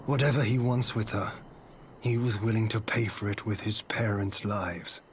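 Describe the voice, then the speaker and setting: A man acting a grave, remorseful leader in a fantasy video game cutscene, sombre and measured. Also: on the low side, fairly even intonation, slight background noise, close to an everyday voice. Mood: grave, resolute. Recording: faint background ambience.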